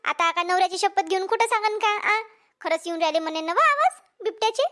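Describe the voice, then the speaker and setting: Cartoon casting: woman as bossy village wife, Vidarbha Marathi accent, very high pitch, chatty and emphatic. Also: lively intonation, brisk, fairly bright tone. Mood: urgent. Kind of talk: amateur cartoon comedy voice acting.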